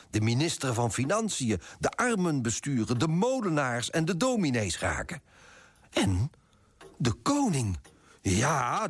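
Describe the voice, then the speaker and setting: Pompous husky coffee broker. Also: male voice, mid-range voice, no room sound, full character voice. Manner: pompous, self-important. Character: pompous, fussy male coffee broker, self-righteous and judgemental. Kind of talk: first-person radio drama narration and dialogue.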